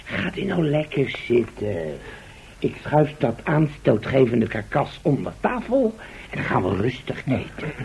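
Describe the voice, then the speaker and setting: An actor playing a placid, cheeky, hungry companion in a radio drama, drily unconcerned by danger. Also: male voice, medium pitch, sing-song, unhurried, creaky, darkish tone, slightly rough, heavily acted. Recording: dull, faintly noisy old radio recording.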